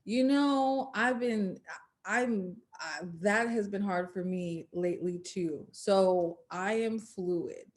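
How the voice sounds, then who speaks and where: A woman's voice: mid-range voice, takes it slowly, a little mellow. A woman in her late twenties hosting a casual interview, talking openly and candidly and laughing often. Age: 20s. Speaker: interview host. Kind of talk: casual chat.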